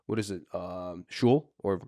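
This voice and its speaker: A man's voice: sits low, measured pace, warm tone, no echo, studio quality. A man from Ukraine hosting a podcast, laid-back and slangy in casual chat. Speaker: podcast host.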